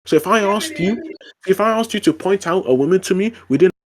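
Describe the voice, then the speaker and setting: A man's voice: high voice, animated, fairly quick. A man in a casual online debate, arguing his point with frequent fillers and restarts. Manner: argumentative, lots of fillers and restarts.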